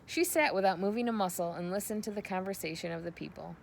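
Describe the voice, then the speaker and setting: Female storybook narrator, mid-range pitch, read-aloud style, noisy home recording. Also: fast talker, gravelly.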